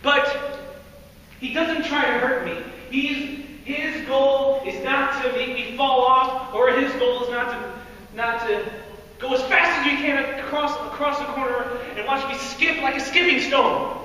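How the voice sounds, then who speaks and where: Teen boy youth speaker, very high, loud and energetic, far from the mic in a reverberant hall. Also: a little slow. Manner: loud, energetic, laughs often. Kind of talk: lively devotional talk to a group. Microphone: no microphone. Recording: echoey hall, far from mic, faint noise.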